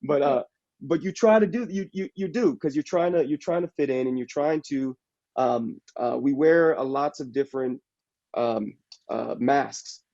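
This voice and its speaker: A man from the Bay Area talks things through on a panel, pausing often and feeling his way toward each point. His voice is mellow and warm, at an ordinary pitch. The video-call audio comes through dull and lo-fi. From San Francisco Bay Area. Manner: halting, reflective, searching for words. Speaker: capoeira practitioner and actor on a panel. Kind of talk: casual panel discussion.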